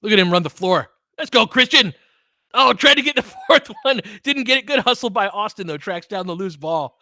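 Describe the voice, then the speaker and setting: A man hosts a fan basketball stream, calling the game in a bright, forceful voice. His pitch swings widely as he mixes play calls with slangy, casual reactions. The sound is dry and close. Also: expressive, normal speed, no room sound. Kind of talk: live basketball play-by-play commentary mixed with casual chat.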